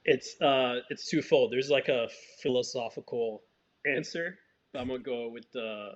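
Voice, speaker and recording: Haiti-raised filmmaker, hesitant, thoughtful interviewee, mid-low voice, slightly muffled recording. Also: male voice, normal speed. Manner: thoughtful, hesitant with many fillers and restarts. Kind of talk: interview answers.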